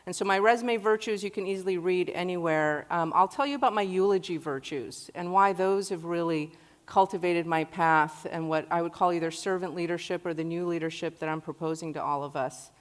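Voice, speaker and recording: A woman's voice: lowish voice, fairly quick. A woman in her forties, born in Iraq, giving an earnest personal talk to an audience in a large hall. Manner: earnest, warm-hearted storytelling. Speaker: guest speaker. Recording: long hall reverb.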